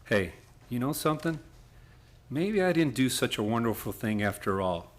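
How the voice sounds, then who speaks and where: An actor playing a wry, good-humored lawyer in a live old-time radio play. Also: male voice, medium pitch, sing-song, moderate pace, natural voice. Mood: astonished. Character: good-humored male lawyer, wry, tender romantic lead.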